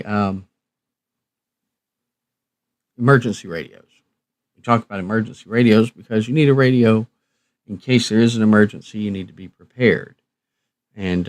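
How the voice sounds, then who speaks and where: Guy doing a casual livestream, lowish voice, folksy and kinda rambly, real dry sound no room. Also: darkish tone, dry recording. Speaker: live stream host, radio reviewer. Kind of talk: casual live stream commentary.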